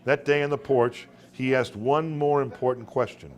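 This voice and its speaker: A man reading his own short story to a live room as a dramatic storyteller, with deliberate pauses and occasional stumbles. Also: medium pitch. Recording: live room recording with background noise.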